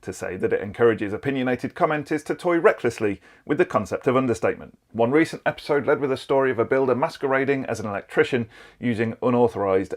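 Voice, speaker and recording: A man reading a scripted comedy segment in a dry, sardonic way. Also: mid-range voice. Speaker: segment narrator. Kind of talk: scripted narration.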